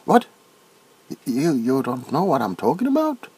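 A middle-aged man playing a nervous, bewildered, awkwardly polite doctor in a soft-spoken comedic ASMR roleplay, stammering and repeating himself. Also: medium pitch, huge pitch swings, moderate pace, breathy edge, heavily acted. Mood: hesitant, puzzled. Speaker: ASMR performer.

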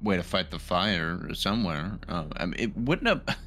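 A man's voice: sits low, lively intonation, normal speed, bright, forceful tone. A man playing a tabletop roleplaying game, speaking casually and hesitantly as he searches for words. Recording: faint background noise.

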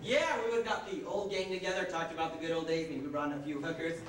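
In an echoey hall with audience noise, a young man plays a wisecracking best man in a stage comedy. His voice is high, excitable and chummy. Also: fast talker, recorded from a distance, crowd noise, natural voice. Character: jokey, excitable best man and brother-in-law. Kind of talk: amateur one-act stage comedy acting. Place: theater stage, recorded from the audience.

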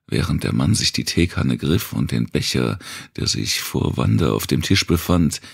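A man reading scripted narration for a dark thriller audiobook. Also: a bass voice, big pitch swings, normal speed, vocal fry, husky.

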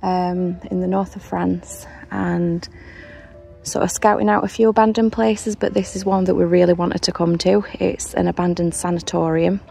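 A young woman hosts an exploration vlog. Her voice is lowish and mellow, with a gravelly edge. She moves between relaxed, filler-laden chat and read narration. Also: moderate pace, faint music behind. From United Kingdom. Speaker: urban exploration vlogger.

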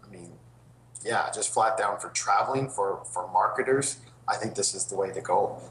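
A young man speaks from an indoor room over a video call, his voice on the low side. The audio is roomy and carries a faint hiss behind it. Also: moderate pace, a bit bright. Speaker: guest. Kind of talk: casual remote chat.

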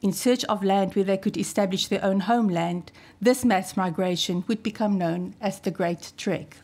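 A woman in her fifties from South Africa, an Afrikaans speaker with a South African accent, reading a prepared talk at a podium microphone in a wood-panelled hall, in a measured, formal way with deliberate emphasis. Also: mid-range voice, a little mellow. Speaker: archivist giving a talk.

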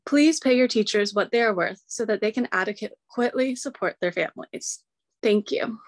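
A woman's voice: a little high, normal speed, no room sound. A young woman, a college student, earnestly reading a prepared public comment over a video call. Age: young adult.

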